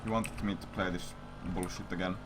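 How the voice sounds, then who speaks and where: Low-voiced man rambling over noisy stream audio. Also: fairly even intonation, fairly quick. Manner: profane, blunt, repetitive. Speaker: livestreamer. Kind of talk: casual rambling stream chat, storytelling.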